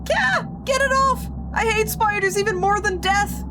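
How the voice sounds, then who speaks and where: A woman voicing a cheerful, impulsive young woman companion, reading a visual novel aloud in a bright, playful voice. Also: extremely high pitch, animated, a little slow, very noisy, voice pushed up. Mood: panicked. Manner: bright and playful, shrieking when scared.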